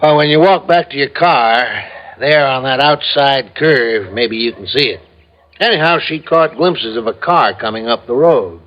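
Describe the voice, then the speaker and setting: An American actor playing a talkative small-town police sergeant in a classic radio crime drama, telling things in a colloquial, emphatic, rambling way. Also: male voice, mid-range voice, animated, measured pace, lightly acted. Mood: folksy explaining. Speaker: supporting character actor. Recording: muffled old radio recording.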